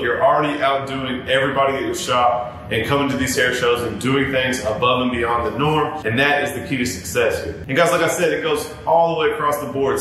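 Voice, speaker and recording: A man in his thirties, a barber giving earnest advice straight to camera in a casual, repetitive way, addressing viewers as guys. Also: a bit bright. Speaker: barber and YouTuber. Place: home barber studio, indoors. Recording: very echoey room with faint rumble.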